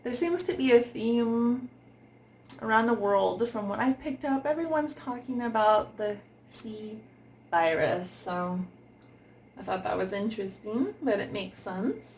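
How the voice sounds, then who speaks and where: A woman giving an informal, unscripted product review, giggly and often pausing to search for words. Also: fairly high pitch, a little mellow, slight background noise.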